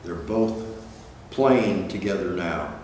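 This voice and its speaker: An older man reading a picture book aloud, pausing to add explanatory asides for listeners. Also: sits low, expressive, a little mellow. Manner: expository, points out pictures to listeners. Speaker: guest storybook reader. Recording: distant, very echoey hall, faint noise.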